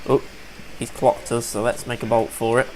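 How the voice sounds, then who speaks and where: A man's voice: mid-range voice, flat delivery, moderate pace, a little mellow, slight background noise, poor audio. A man giving casual, upbeat commentary over a survival game, a bit sniffly from allergies and chatting to viewers as folks. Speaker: let's play gamer.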